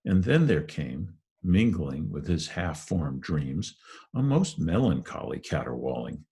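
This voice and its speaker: A very low-pitched man reads classic fiction aloud. His voice is strongly husky and rough, and he tells the story in a measured, expressive way. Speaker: reader. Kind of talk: audiobook-style narration of a classic novel.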